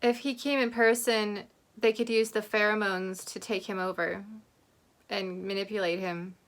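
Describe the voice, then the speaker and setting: A woman's voice: mid-range voice, flat delivery, moderate pace, a bit bright, a little hiss or hum. A woman with an American accent giving casual commentary as host of a reaction video. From Oregon, United States.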